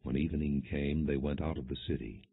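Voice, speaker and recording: A man with a low, husky voice narrates scripture from a prepared text. His delivery is solemn and measured, with expressive storytelling. The recording is muffled and thin, like a phone line. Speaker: audiobook narrator.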